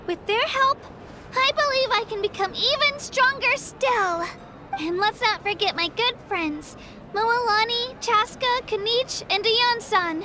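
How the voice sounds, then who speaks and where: A woman's voice: very high-pitched, a little slow, background noise. An actress playing a modest, earnest young girl warrior in a video game, speaking politely and sincerely. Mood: grateful, earnest. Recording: loud music behind the voice.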